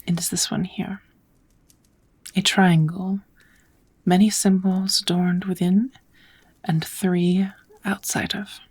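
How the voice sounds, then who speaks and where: Woman playing a breathy, low-voiced kind witch. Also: level tone. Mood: calm, patient. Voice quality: soft, breathy, often whispered. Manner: gentle, measured, instructive, slightly formal. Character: kind, patient witch teaching schools of magic. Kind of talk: whispered ASMR roleplay lesson.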